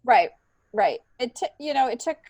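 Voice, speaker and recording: A woman's voice: on the high side, expressive, normal speed, a little mellow, slightly rough, dry recording. Middle-aged American woman, dry close sound. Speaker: podcast guest, stand-up comedian. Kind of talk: casual conversational storytelling.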